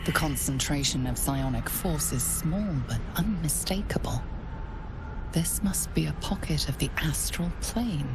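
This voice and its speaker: A woman narrating a game in a hushed, breathy way. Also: sits low, measured pace, background noise. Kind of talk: scripted narration. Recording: game audio with loud ambience.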